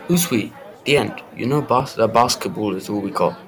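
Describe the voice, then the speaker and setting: A man's voice: on the low side, moderate pace, a faint crowd, lightly acted. A young male student playing a defensive, stubborn teenage basketball player in an audio drama.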